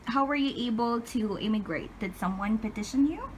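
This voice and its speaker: A woman's voice: fairly high pitch, normal speed, slight background noise, low-quality recording. A woman interviewer putting prepared questions to a guest in a read-out style.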